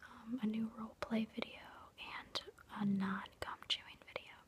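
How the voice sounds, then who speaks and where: A woman whispering softly and breathily in a gentle, casual, reassuring way, as an ASMR host chatting and guiding a meditation into her phone. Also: a little high, steady tone, normal speed. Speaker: ASMR video host leading a guided meditation. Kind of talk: whispered ASMR, soft informal chat and guided meditation.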